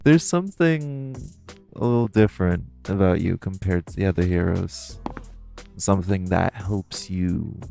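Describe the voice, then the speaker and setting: A man's voice: low-pitched, a little slow, gravelly, dark tone, soft background music. A man playing a flirty, teasing supervillain thief in an audio roleplay, coy and theatrical, drawing out his phrases as he talks to the listener. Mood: coy, flirtatious.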